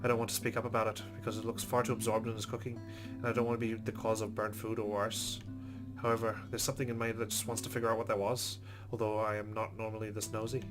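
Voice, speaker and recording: Man, low voice, let's-play narrator, reading story text aloud, casual asides, music behind. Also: completely flat delivery, quick pace. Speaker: let's-play host reading a visual novel. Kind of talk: narrated game playthrough with casual commentary.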